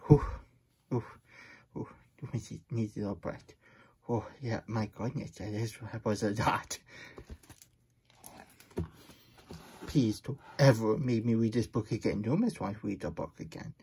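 A man's voice: lowish voice, normal speed, warm tone, breathy edge. A man voicing a silly, childlike plush hedgehog who reads storybooks aloud in babyish broken grammar and gets easily flustered. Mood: flustered, playful. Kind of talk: playful storybook read-aloud.

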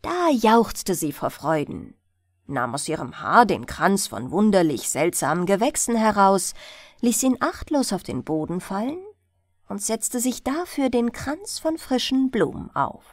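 A woman narrating a bedtime fairy tale in an expressive storytelling style, as an audiobook reader. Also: mid-range voice, unhurried, no room sound, studio quality.

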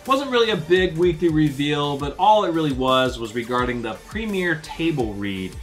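A man's voice: on the high side, expressive, moderate pace. A man co-hosting a fan news show in casual, unscripted chat. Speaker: co-host of a fan news channel. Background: background music.

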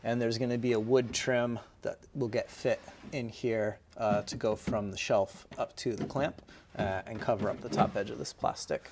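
A man in his thirties talks through his woodworking project in an easy, unhurried way, dropping frequent "uh" fillers. His voice sits in a middle pitch. He is recorded on a lapel mic in an indoor boat shop, with faint workshop noise behind him. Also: steady tone, darkish tone. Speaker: boatbuilder. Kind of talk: casual workshop explanation.

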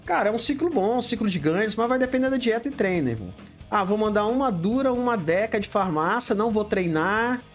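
A Brazilian man in his fifties talks straight into a desk webcam in a home studio room, blunt and plain-spoken. His pitch swings a lot as he greets chat viewers. Faint music plays in the background. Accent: Brazilian Portuguese. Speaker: livestream host answering viewer questions. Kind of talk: casual live chat. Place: home studio room lit with colored LEDs.

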